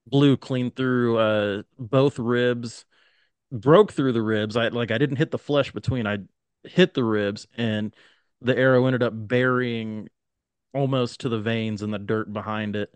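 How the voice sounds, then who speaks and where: A man's voice: medium pitch, steady tone, measured pace, clean tone, no room sound. A man in his late twenties, a guest on a podcast, talking casually in a laid-back way. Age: 20s.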